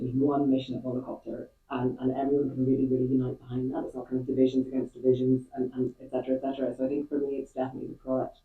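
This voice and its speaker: Middle aged woman explaining stuff in an interview, talks fast, boomy room far from the mic. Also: phone-quality sound. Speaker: interviewee, company employee. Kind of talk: conversational interview answers. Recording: echoey room, distant mic, band-limited sound.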